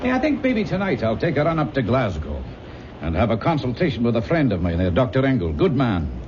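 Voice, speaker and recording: An actor playing a concerned family doctor, blunt and authoritative, in an old-time radio drama. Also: male voice, medium pitch, huge pitch swings, fairly quick. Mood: brisk, cautioning. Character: brisk, concerned male country family doctor. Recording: muffled, faintly noisy old radio recording.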